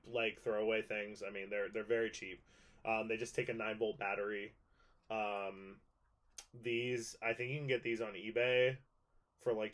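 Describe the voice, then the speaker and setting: A man presenting a collector's guide in an informal, conversational and rambling way, showing items as he talks. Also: medium pitch. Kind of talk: casual product show-and-tell.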